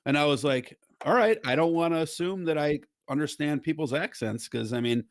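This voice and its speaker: A man's voice: a little high, a little fast. A man hosting a casual podcast, joking around and telling stories with lots of energy. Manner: joking, animated storytelling. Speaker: podcast host.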